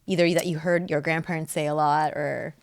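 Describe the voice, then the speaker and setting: Low-voiced woman asking easy questions. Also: fairly even intonation, brisk, vocal fry. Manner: attentive listener, brief questions and "mm-hmm". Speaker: podcast co-host. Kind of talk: casual chat.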